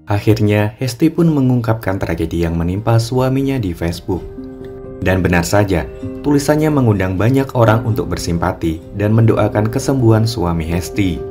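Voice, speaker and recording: A man narrating a cartoon drama in a steady, even way. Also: lowish voice, normal speed, faint music behind. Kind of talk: scripted storytelling narration.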